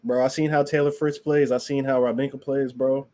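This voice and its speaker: A relaxed man chats about sports on a podcast and drops "bro" into nearly every line. His tone is dark and mellow, and his pitch is average for a man. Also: a little fast. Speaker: podcast co-host. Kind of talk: casual sports talk.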